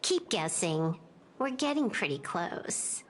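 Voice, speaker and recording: A woman's voice: on the low side, very animated, takes it slowly, a little hiss or hum, poor audio. An actress playing a cool, composed, teasing female outlaw in a video game. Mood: coy, teasing.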